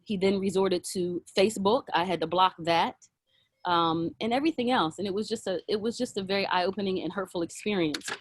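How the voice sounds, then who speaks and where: A woman sharing a personal reflection with a group on a video call, earnest and halting, with many fillers and restarts. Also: medium pitch, no echo. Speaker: circle participant.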